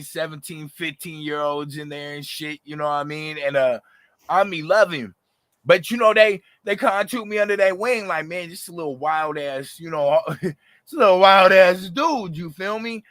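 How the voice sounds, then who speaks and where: Raspy man in his thirties, animated and passionate, bright edge, dry close sound. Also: a little high, moderate pace, no room sound. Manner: animated, passionate, slangy, lots of fillers. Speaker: rapper, interview guest. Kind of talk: casual interview talk.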